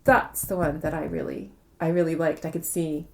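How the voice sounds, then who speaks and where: A woman's voice: low voice, level tone, moderate pace, gravelly. A woman speaking conversationally as an interviewed author, explaining things reflectively and repeating words for emphasis. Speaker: interviewed novelist.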